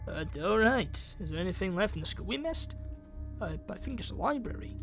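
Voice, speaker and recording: A man's voice: sits high, lively intonation, a little fast, over music. A man reading a visual novel game aloud as dramatic narration, acting out lines and thoughts. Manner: acts out characters' lines and thoughts.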